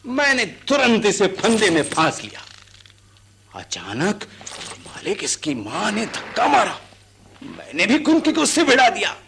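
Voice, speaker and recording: An earnest elephant catcher in a radio drama, humble before his master. His voice sits fairly high and moves in lively swings as he recounts things vividly, over faint background noise. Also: unhurried, heavily acted. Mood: excited recounting. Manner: servile address, vivid excited recounting. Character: deferential, earnest male elephant catcher, eager storyteller. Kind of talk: Hindi radio drama acting.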